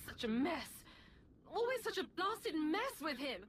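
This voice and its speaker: An actress playing a haughty, scornful female Sith dark lord in a video game, theatrical and disdainful. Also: high voice, big pitch swings, takes it slowly, a little hiss or hum, heavily acted. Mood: irritated, muttering. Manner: theatrical, disdainful, ornate phrasing. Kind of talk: video game villain acting.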